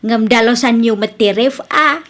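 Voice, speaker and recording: A woman's voice: fairly high pitch, normal speed, a bit bright, close to an everyday voice. A woman playing a long-suffering aging mother in a radio drama, plaintive and complaining, with an Ilocano accent. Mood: exasperated.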